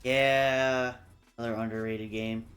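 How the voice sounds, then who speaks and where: A man's voice: mid-range voice, flat delivery, unhurried, fairly bright tone. A man chatting casually as a panelist on a livestream round table, swearing freely. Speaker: panelist in a video game discussion.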